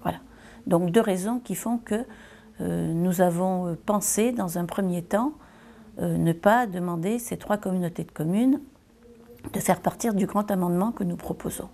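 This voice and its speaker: Woman, local politician explaining her position, mellow gravelly voice, hesitant but insistent, repeats herself. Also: on the low side. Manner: hesitant, deliberate, emphatic repetition of phrases. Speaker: local elected official, deputy mayor. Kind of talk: political statement, semi-improvised explanation.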